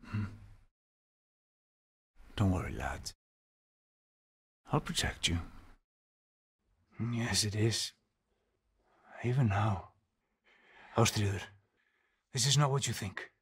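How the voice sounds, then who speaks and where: A man playing a weary, haunted Norse settlement leader in a video game, speaking gravely and deliberately in a husky, breathy voice. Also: fairly low pitch, expressive, dry recording, exaggerated voice. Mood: earnest, guarded, then pleading, insistent. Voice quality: husky, breathy, often near a whisper. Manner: slow, deliberate, grave, with pauses. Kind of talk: dramatic video game acting.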